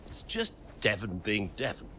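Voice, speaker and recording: A middle-aged racing team owner in a game cutscene, with a low voice that moves in lively swings. He is smooth, placating and coaxing, with clear ambient noise behind him. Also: moderate pace, heavily acted. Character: persuasive, smooth-talking middle-aged father who owns a racing team. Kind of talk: video game cutscene acting.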